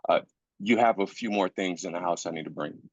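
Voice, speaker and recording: A man's voice: sits low, a little fast, no echo. A man playing a weary, grieving husband and father in a screenplay reading, insistent yet supportive. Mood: gently encouraging. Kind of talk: live staged screenplay reading. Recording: dull, lo-fi sound.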